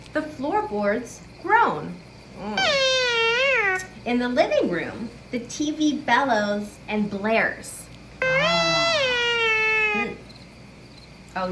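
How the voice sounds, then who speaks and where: A woman hosting a children's picture book story-time, chatty and playful, with expressive emphasis on sound words and questions to young listeners. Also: mid-range voice, very noisy. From lives in New York City. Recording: roomy, distant mic with loud music.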